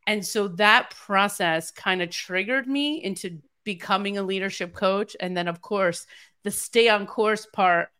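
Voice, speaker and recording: A woman's voice: medium pitch, measured pace, smooth. A middle-aged woman telling personal stories in conversation as a podcast guest and leadership coach, acting out what people said. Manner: animated, acts out quoted dialogue.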